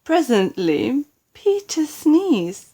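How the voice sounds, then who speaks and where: A woman with a British accent narrating a children's storybook expressively, with deliberate dramatic emphasis on key words. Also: sits high, big pitch swings, warm tone. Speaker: storybook reader.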